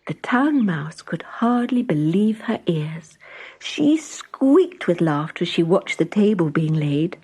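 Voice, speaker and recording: A woman reading a scripted children's story as an expressive storyteller. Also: on the low side, takes it slowly, husky.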